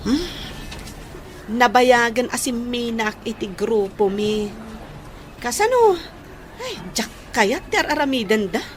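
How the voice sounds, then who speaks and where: Actress as a high, chatty gossipy woman. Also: animated, slow pace, pauses often, a little hiss or hum. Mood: evasive, hurried. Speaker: voice actor in a radio drama. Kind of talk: Filipino radio drama acting.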